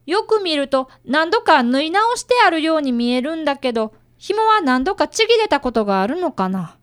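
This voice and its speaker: A woman's voice: high-pitched, big pitch swings, moderate pace. A woman playing an earnest, polite fifth-grade boy who narrates and acts in a radio drama, with a touch. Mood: kindly, questioning.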